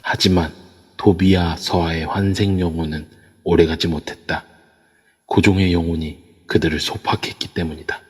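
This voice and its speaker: A man narrating an audio drama in an even storytelling manner. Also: deep voice, moderate pace, husky. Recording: echoey long reverb.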